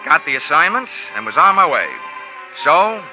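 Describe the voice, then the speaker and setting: A man's voice: fairly high pitch, very animated, moderate pace. An actor playing a wisecracking male insurance investigator who also narrates, dry and matter-of-fact. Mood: wry. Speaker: narrator and lead. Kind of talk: 1950s radio drama acting and narration. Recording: lo-fi old radio broadcast with music.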